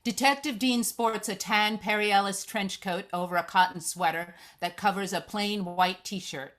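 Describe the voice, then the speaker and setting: A woman's voice: mid-range voice, fairly even intonation, normal speed, fairly bright tone. Woman reading screenplay stage directions aloud. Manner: descriptive, reading aloud with stumbles. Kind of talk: scripted narration, live screenplay read.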